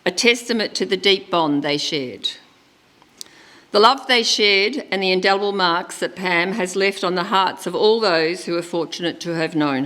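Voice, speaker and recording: A woman reading a eulogy at a church funeral service in a gentle, measured way, speaking into a lectern microphone. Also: fairly low pitch. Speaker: funeral celebrant.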